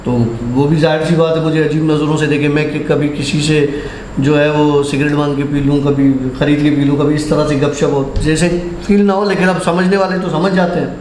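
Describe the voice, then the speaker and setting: Higher-voiced man telling ghost stories. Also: little pitch movement, moderate pace, few pauses, darkish tone. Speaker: horror storyteller on a podcast. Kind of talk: casual first-person ghost storytelling. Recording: roomy echo, faint background noise.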